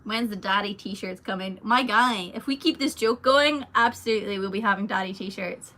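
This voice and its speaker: A woman's voice: medium pitch, normal speed, bright, forceful tone. A young adult woman, a singer, chatting casually through a livestream Q&A with lots of fillers and a rambling manner. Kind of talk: casual livestream Q&A, reading viewer comments.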